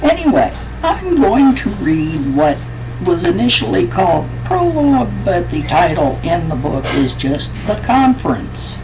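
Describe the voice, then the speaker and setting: A woman author reading a chapter of her own novel aloud in a slow, measured way. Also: lowish voice, very animated, noisy background.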